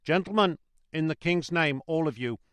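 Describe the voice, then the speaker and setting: A man puts on a gruff coach guard for a storybook. He is blunt and suspicious, challenging whoever comes near, in a close, dry sound. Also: mid-range voice, normal speed, fairly bright tone, no echo, natural voice. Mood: shouted alarm. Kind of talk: dramatised storybook reading.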